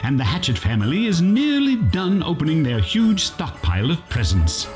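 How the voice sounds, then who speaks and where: A man's voice: on the high side, huge pitch swings, normal speed, darkish tone, faint music behind. A man playing the storyteller of a parody Christmas radio play, narrating in a mock-dramatic storybook style. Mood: mock-solemn.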